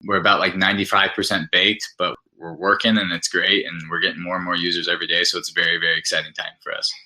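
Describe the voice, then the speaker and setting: A man's voice: low voice, little pitch movement, fast talker, sharp tone, low-quality recording. A man speaking as an interview guest, explaining his product in an enthusiastic, conversational way and laying out points one by one.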